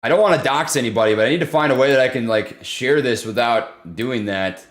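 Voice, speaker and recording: A young man hosting a casual livestream, talking loudly and excitably while reading chat. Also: medium pitch, fairly quick. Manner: loud, excitable, says he always yells. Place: home room.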